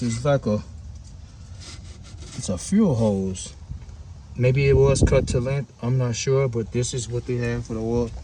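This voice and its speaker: A man hosting a casual hands-on car repair vlog, with a relaxed, chatty way of talking straight to his viewers. He is sniffly from the cold, with a runny nose, and speaks outdoors beside the car. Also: fairly low pitch, background noise. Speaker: DIY mechanic and channel host.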